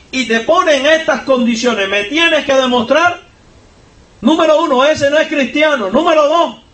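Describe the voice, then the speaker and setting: A man with a Cuban accent, preaching a sermon as pastor, driving his points home by climbing in pitch and volume. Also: fairly bright tone. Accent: Cuban Spanish. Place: church sanctuary. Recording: echoey church hall, voice from far off.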